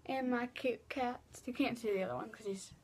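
A woman's voice: fairly high pitch, normal speed, a little mellow, slightly rough. A teenage girl chatting casually in her bedroom, answering viewer questions with lots of fillers and restarts. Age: teens. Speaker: vlogger. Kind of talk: casual Q and A vlog. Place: small bedroom, indoors. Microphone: laptop webcam mic.

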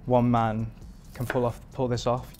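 Relaxed English chef, flat low-key voice. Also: male voice, measured pace, soft background music. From England. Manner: relaxed, rambling with many fillers. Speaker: performance chef. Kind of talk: interview answers. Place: modern home kitchen indoors.

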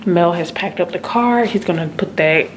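A woman's voice: on the low side, normal speed, slight background noise. A woman in her thirties giving a casual, chatty tour of an empty house, talking to viewers on a handheld phone. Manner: chatty, relieved, addresses viewers as guys and y'all. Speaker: vlogger. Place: empty house, rooms and basement. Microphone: handheld phone camera.

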